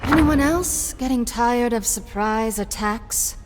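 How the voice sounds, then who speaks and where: A woman's voice: a little high, slow pace, music in the background. A woman playing an impatient, sardonic pirate captain in a video game, with punchy, sarcastic delivery. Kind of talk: video game character acting. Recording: ship and sea ambience behind.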